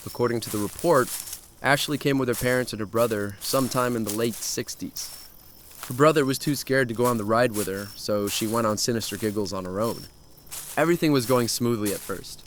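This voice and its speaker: A man's voice: mid-range voice, slight background noise, close to an everyday voice. A man playing an adventurous, thrill-seeking young man who tells his story in a horror audio drama. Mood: eager, intrigued.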